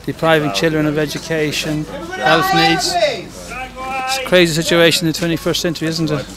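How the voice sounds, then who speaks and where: A man's voice: fairly high pitch, animated, a little fast. A middle-aged man talking off the cuff in an outdoor interview, often repeating his words. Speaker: interviewee at a protest site. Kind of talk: spontaneous interview talk. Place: outdoors at night. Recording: outdoor crowd noise behind.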